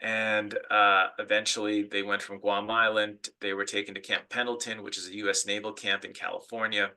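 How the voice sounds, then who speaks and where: A man's voice: on the low side, level tone, moderate pace, sharp tone. A middle-aged man with an American accent giving an online lecture, telling stories earnestly with fillers and restarts. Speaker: academic speaker.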